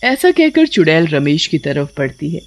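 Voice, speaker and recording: A woman's voice: deep voice, very animated, normal speed, slight background noise. A woman storyteller reading scripted horror narration.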